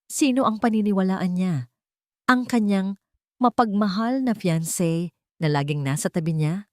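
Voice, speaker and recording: A woman's voice: mid-range voice, unhurried, dry recording, clean studio sound, close to an everyday voice. A woman voicing a vengeful, scheming fiancée in an audio love drama, taunting and menacing. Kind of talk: dramatic audio story acting.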